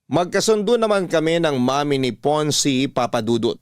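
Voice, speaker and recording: A man narrating a listener's letter in a radio drama, reading in a steady, measured way. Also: on the high side, clear, resonant tone, dry recording, studio quality.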